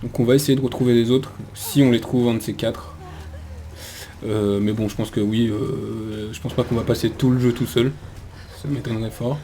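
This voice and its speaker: A man's voice: medium pitch, steady tone, normal speed, mellow. A man hosting a gaming video with casual let's play commentary, chatty and hesitant as he thinks aloud.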